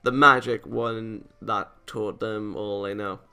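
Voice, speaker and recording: A man reading a visual novel aloud as a let's-play narrator, expressive and theatrical, with game music behind him. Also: on the low side, slow pace, gravelly, smooth.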